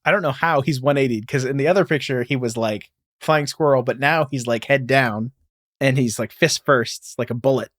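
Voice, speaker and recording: A man's voice: medium pitch, moderate pace, a bit bright, dry recording, clean studio sound. A man co-hosting a podcast, recapping comic panels in a loose, slangy, conversational way. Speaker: podcast co-host. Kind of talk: casual chat and read-aloud comic recap.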